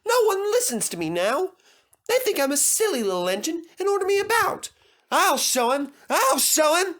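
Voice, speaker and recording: A man voicing a cheeky, excitable little male tank engine in a children's storybook. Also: extremely high pitch, moderate pace, pitched above the performer's own voice, heavily acted. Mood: indignant.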